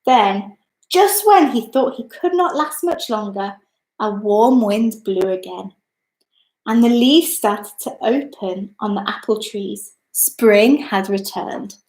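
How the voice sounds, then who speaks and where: A woman reading a children's story as a storyteller, in an expressive, deliberate manner. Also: medium pitch. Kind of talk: scripted children's story narration. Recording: echoey, roomy sound.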